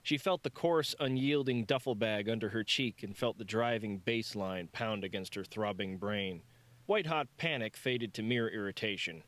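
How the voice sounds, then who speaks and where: Low-pitched man narrating an audiobook, dry sound. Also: moderate pace, a bit bright, no echo. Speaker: author reading own audiobook. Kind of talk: scripted narration of a novel.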